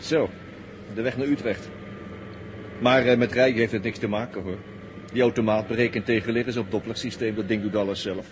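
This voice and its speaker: A man's voice: fairly low pitch, steady tone, a little fast, natural voice. An actor playing a crafty, jovial male technician on a test crew in a 1960s radio drama, chatty and coaxing. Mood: easygoing. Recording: noisy 1960s radio recording.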